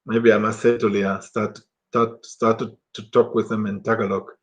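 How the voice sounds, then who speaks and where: A middle-aged German man talking casually to camera in halting, self-correcting English with a German accent. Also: fairly low pitch, level tone.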